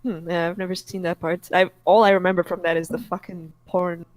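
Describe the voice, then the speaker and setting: A woman's voice: fairly low pitch, little pitch movement, brisk, dry recording, rough recording. A woman in casual podcast banter, speaking bluntly and swearing often.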